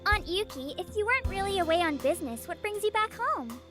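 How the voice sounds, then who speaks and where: Man as a innocent anime girl, very high pitch, swooping intonation, pert, questioning, music behind. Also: sing-song, a little fast. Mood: curious. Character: innocent, nosy young girl in anime visual novel.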